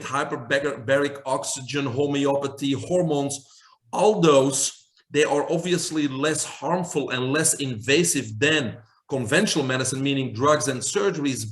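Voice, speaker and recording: A man's voice: mid-range voice, a bit bright. A man in his forties from Belgium with a Belgian accent, earnestly answering interview questions as a holistic health doctor. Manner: earnest, emphatic, lists many terms.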